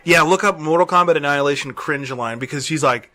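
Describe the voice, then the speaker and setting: Man, podcast co-host, slightly high voice, very bright tone, irreverent joking, casual movie chat. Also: fast talker, no room sound. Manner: jokey, irreverent, frequent swearing and laughing.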